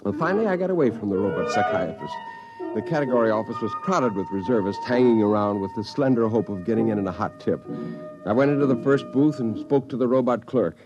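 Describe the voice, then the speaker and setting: A man's voice: medium pitch, animated, fast, highs cut off, over music, close to an everyday voice. A man playing an exasperated everyman narrator. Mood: narrating. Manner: flustered, exasperated. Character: frustrated unemployed man narrating. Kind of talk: 1950s radio drama narration and acting.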